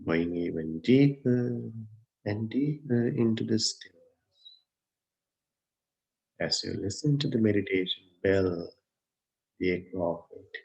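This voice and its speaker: A man with a fairly low voice and a strong creak guides a meditation. He speaks gently in short, unhurried phrases, leaving long pauses between them. The recording sounds slightly dull and muffled. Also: lively intonation. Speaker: Buddhist monk, meditation teacher.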